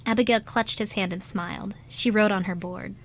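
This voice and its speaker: A woman's voice: mid-range voice, steady tone, normal speed. A woman reading scripted third-person narration as the narrator of an amateur radio drama. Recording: light background noise and ambience.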